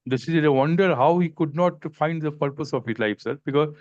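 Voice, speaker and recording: A man's voice: a little high, a little fast, a little mellow, no echo. A man asking a spiritual teacher a question, deferential and often adding sir. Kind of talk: online spiritual Q&A. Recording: dry, muffled video-call audio.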